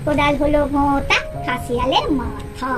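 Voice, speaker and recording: A woman's voice: high-pitched, moderate pace, lightly acted. A woman voicing a chirpy, pleading little child in a doll story, babyish, wheedling and sing-song. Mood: playful. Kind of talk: puppet doll story voice acting. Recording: loud music behind the voice.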